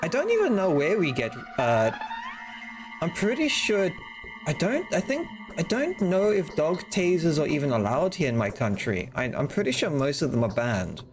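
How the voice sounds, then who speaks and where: A man's voice: a little high, wildly swooping pitch, moderate pace, music in the background. A man streaming a game live, chatting loosely and rambling to his viewers and to himself. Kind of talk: casual live-stream chat while playing.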